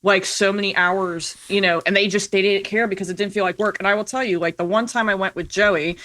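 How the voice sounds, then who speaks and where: Bright-voiced woman chatting casually. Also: medium pitch, fairly even intonation, a little fast. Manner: informal, peppered with "like" and "you know". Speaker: podcast guest, indie producer. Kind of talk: casual chat, storytelling.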